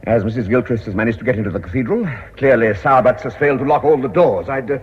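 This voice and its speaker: Actor as officious canon, muffled recording. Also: male voice, medium pitch, quick pace, natural voice. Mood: polite, disapproving. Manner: formal, slightly hesitant. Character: courteous, officious cathedral canon. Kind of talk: radio drama acting. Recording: dull radio recording, faint noise.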